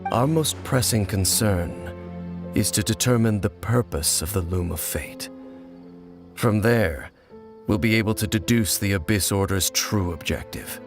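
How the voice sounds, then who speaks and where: A deep-voiced, brooding ancient warrior speaks with solemn deliberation. He sounds like a betrayed, resolute wanderer from a fantasy game. Music plays clearly behind him. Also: measured pace. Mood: grave, analytical. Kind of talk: dramatic video game dialogue. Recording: clean voice over background music.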